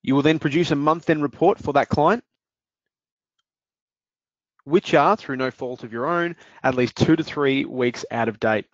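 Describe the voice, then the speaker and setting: Male presenter, measured explainer, dry and dull lo-fi web audio. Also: medium pitch, dry recording. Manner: measured, explanatory, some fillers. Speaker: webinar presenter, business development manager. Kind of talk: product demo webinar.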